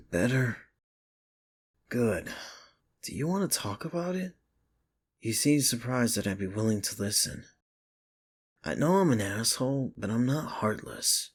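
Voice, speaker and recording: A man's voice: on the low side, breathy edge, exaggerated voice. A man playing a sardonic but secretly caring office rival in an intimate ASMR romance roleplay, with dry, earnest delivery. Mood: softly concerned. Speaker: ASMR voice actor.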